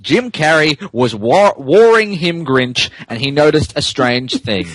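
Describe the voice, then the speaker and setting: A man hosting a podcast, switching between casual banter and comic dramatic reading in an amused, animated manner. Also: on the high side, a little slow, no room sound, low-quality recording. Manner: animated, amused, breaks into laughter while reading. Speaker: podcast host and narrator.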